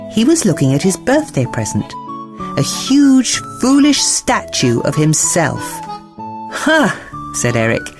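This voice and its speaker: A woman narrating a scripted children's bedtime story in an expressive, theatrical storytelling manner. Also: sits low.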